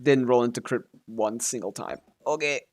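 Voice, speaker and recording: A man's voice: mid-range voice, moderate pace. A man casually commentating on a game livestream, swearing freely as he reacts aloud and talks to himself and his chat. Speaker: game streamer.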